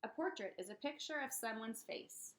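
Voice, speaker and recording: A woman reading a children's picture book aloud in an expressive, dramatic way for young listeners. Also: a little high, normal speed. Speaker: read-aloud storyteller.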